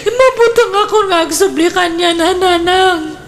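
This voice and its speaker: A woman's voice: very high-pitched, normal speed. A woman playing a fretful, easily led young woman in a comedic radio drama, speaking with a Filipino accent. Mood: distressed, pleading.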